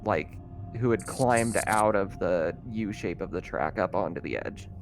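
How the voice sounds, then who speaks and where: A man's voice: fairly low pitch, measured pace, faint music behind. A man acting as game master, explaining the scene and rules in casual chat and laughing easily. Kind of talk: casual tabletop roleplaying narration and chat.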